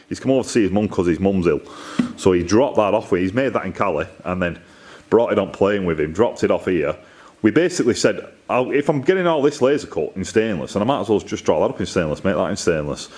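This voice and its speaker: A man's voice: lowish voice, a little mellow. A man with a Northern English accent rambles casually to camera in a colloquial, self-deprecating way, recorded in a workshop. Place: metalworking workshop, indoors.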